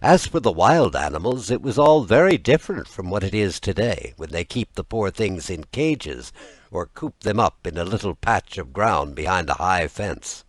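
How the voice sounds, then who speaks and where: Deep-voiced man narrating a fairy tale. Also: dry recording. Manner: expressive, deliberate storytelling. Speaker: audiobook narrator.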